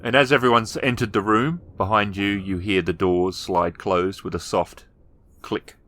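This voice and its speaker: A man narrating a tabletop roleplaying game as storyteller, describing scenes in improvised fragments and pausing to find words. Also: on the low side, fairly even intonation, fairly bright tone.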